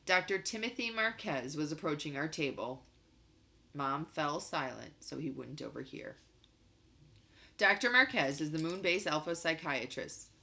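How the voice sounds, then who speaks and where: A woman narrates a children's novel in an expressive read-aloud style. Her voice is bright and forceful, sitting a little low for a woman. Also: a little slow, gravelly.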